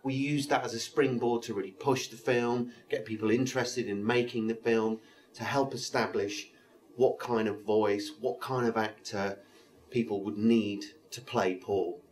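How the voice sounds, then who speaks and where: A man's voice: lowish voice, fairly even intonation, measured pace. A man from a film crew talking in a behind-the-scenes interview.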